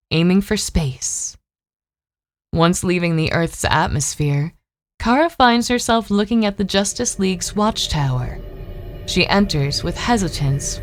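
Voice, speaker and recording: A woman's voice: on the low side, background noise. A woman narrating an audio drama in a scripted, dramatic storytelling style.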